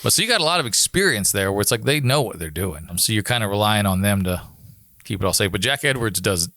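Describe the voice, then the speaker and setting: A man's voice: lowish voice, animated, fast, pushed and bright, no room sound, studio quality. An American man talking casually and informally, explaining how his work as an air traffic controller goes, like a guest on a podcast.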